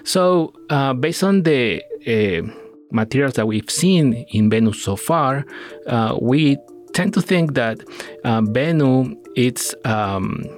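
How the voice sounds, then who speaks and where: A man's voice: medium pitch, lively intonation, slow, faint music behind. A middle-aged man from Peru, talking as a scientist guest on a podcast, with many fillers and restarts. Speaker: astrochemist guest. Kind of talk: podcast interview.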